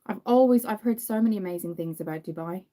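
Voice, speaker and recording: Woman, Irish accent, Dublin, young adult, mellow warm tone, chatty, frequent ums, livestream host. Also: medium pitch, quick pace. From Ireland. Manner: chatty, apologetic, greets viewers by name, frequent ums. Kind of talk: casual live-stream chat while doing makeup.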